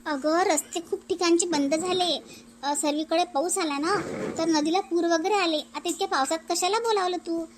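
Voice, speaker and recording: Very high-pitched inquisitive landlady played by a woman. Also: moderate pace, background noise. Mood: curious. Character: inquisitive, hospitable married landlady. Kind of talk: cartoon family comedy dubbing.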